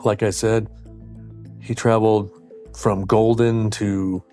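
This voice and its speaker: A man, an investigator, recounting details of a case in a measured, matter-of-fact interview. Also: lowish voice, flat delivery, mellow, soft background music. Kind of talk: interview clip.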